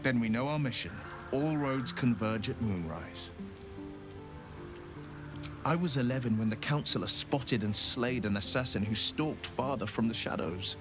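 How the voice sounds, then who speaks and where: A man's voice: mid-range voice, lively intonation, unhurried, over music, natural voice. A man playing an earnest, resolute young nobleman turned warlock adventurer in a dramatic video game, speaking in a formal, sincere way. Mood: solemn, proud.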